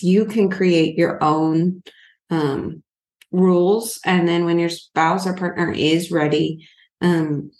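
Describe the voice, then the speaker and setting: A woman's voice: fairly low pitch, a little mellow. Chatty woman in an echoey room. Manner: chatty, filler-heavy, earnest. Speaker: podcast co-host. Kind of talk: casual couple chat.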